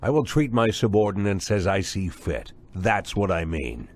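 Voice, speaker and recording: An actor playing a stubborn older fugitive ex-cop in a video game, with a blunt, insistent way of questioning. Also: male voice, sits low, expressive, moderate pace. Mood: firm. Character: bearded old man, fugitive ex-cop pressing the sheriff, stubborn.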